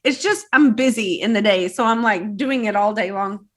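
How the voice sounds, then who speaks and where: A woman's voice: mid-range voice, normal speed, a bit bright. A woman co-hosting a casual recap show, speaking in an informal, chatty way. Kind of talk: casual chat recapping a TV episode.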